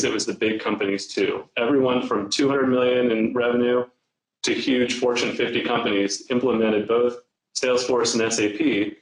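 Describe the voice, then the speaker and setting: An upbeat man who is a sales executive opens a business presentation with enthusiasm. His voice sits at a middle pitch. The recording has a noticeable roomy echo.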